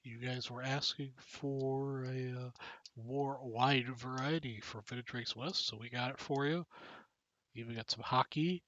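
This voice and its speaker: A man with a bright, forceful voice hosts a casual live stream, joking with viewers and reading off names as he goes. The sound is dull and lo-fi, with a dry, close feel and no room around it. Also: medium pitch, steady tone, a little slow, no echo. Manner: joking, chatty, reads out names and cards. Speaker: live stream card break host.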